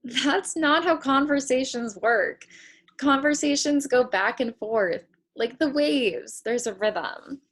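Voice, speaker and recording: A woman's voice: sits high, slow pace, a bit bright, exaggerated voice. A woman playing a guarded, candid young woman on an awkward date in a play table read, speaking frankly and with measure. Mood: probing, encouraging.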